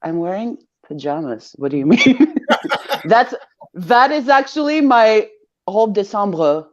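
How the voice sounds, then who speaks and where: A woman's voice: medium pitch, very animated, slow. A woman in her thirties with a Greek accent, chatting playfully and teasingly as co-host. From Greece. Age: 30s. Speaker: show co-host. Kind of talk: casual chat. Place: at home on a video call. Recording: dull lo-fi call audio.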